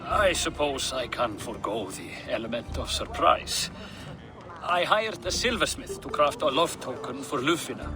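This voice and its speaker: A man playing a friendly, sly Viking-age character in a video game, genial and expressive. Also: medium pitch, unhurried, lightly acted. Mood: confiding. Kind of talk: dramatic video game acting.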